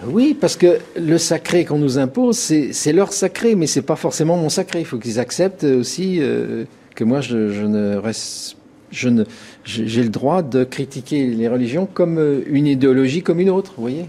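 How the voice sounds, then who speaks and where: Husky man arguing in an interview. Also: mid-range voice, lively intonation, moderate pace, dark tone. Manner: insistent, restarts and repeats himself. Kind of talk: interview answer.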